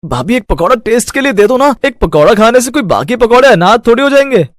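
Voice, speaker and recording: A man's voice: extremely high pitch, very animated, quick pace, slight background noise. A man voicing a cheeky young brother-in-law in a cartoon story, playful and wheedling.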